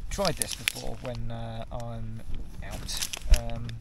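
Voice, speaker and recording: A man's voice: medium pitch, level tone, slow pace, gravelly. A man, chatting casually to camera while camping outdoors. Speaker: wild camping vlogger. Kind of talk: casual outdoor vlog chat. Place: outdoors on exposed moorland, in and around tent. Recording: windy outdoor recording, loud wind noise.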